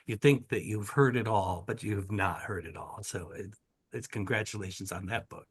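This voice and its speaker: A man's voice: on the low side, moderate pace, breathy edge. A man moderating a panel, introducing guests and asking questions in an effusive, conversational way. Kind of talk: conversational interviewing.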